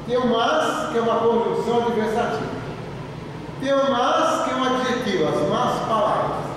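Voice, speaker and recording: An older male pastor with a Brazilian Portuguese accent, preaching emphatically with a fairly high voice, repeating key words and slowing down to stress them. The sound is distant and washed in the long reverb of a large church, with steady noise underneath. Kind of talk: sermon. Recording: echoey church hall from far off, with noise.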